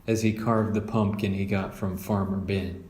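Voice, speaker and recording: A man's voice: sits low, little pitch movement, normal speed, a little mellow, roomy sound. A man narrating a children's storybook as a read-aloud.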